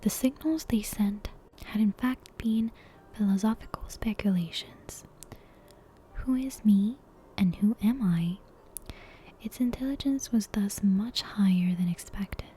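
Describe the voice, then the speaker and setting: A woman reading a bedtime story as ASMR in a soft, breathy, unvoiced whisper, with hushed and deliberate narration. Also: medium pitch, a little hiss or hum, rough recording. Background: soft game soundtrack music.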